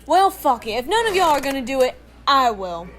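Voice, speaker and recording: A woman's voice: sits high, big pitch swings, a little fast, fairly bright tone, slight background noise. A woman playing a brash, nosy, foul-mouthed space biker in an audio drama, blunt and irreverent. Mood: cheeky, blunt. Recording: music under the voice.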